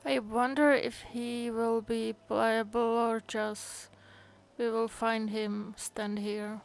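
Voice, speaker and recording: A woman's voice: fairly high pitch, level tone, slow pace, vocal fry. A woman game streamer chatting casually and reading game dialogue aloud in a musing, hesitant way.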